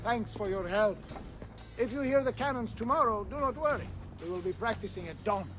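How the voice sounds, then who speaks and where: An eager, apologetic gunner speaks. It is video game dialogue with faint music underneath. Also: male voice, sits high, expressive, brisk, a bit bright. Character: apologetic, eager Italian soldier manning cannons. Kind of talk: video game cutscene acting.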